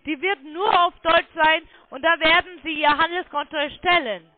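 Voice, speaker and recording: A woman's voice: high voice, fairly even intonation, moderate pace, no room sound. A woman working as a call centre sales agent, talking in an insistent, condescending way on a phone sales call. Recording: tinny phone line.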